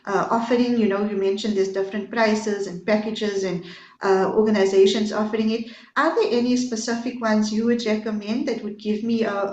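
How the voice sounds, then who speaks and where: A woman hosting a podcast interview, asking long, rambling questions full of fillers and restarts. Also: a little high. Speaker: podcast host. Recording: roomy, dull lo-fi sound.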